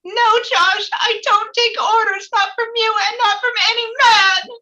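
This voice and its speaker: Woman acting a very high-pitched whiny teenage girl. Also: steady tone, unhurried, pushed and bright, dull audio, full character voice. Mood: defiant, upset. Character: humorless, defiant teenage girl lab partner with a boyfriend. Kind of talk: live table read acting.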